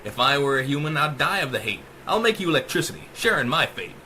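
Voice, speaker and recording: Casting: male reader as sadistic, unhinged female computer, mechanical and teasing, sharp forceful tone, animated delivery, horror story. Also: on the high side, quick pace, slight background noise. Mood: hateful. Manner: taunting, mechanical tease, drawling. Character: cruel, mocking female AI, hateful and unhinged. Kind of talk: dramatic rhyming sci-fi horror audiobook.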